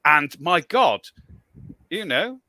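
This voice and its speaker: A man's voice: a little high, sing-song, takes it slowly, creaky, bright, forceful tone. A man with an English West Country accent, chatting and joking in a casual group talk, swearing freely and laughing often.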